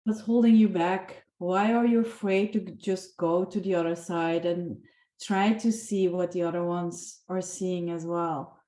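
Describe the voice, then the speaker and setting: A woman taking part in an online group discussion, earnest and halting, often restarting her sentences. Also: on the low side, fairly even intonation, dark tone. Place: video call. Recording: echoey room.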